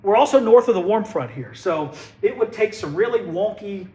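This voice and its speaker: Weather guy, stuffy head cold, kinda husky, voice sits a bit high, chatty and joking. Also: lively intonation, normal speed, a little mellow. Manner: folksy, chatty, joking asides. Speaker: TV meteorologist. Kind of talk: casual livestream weather commentary.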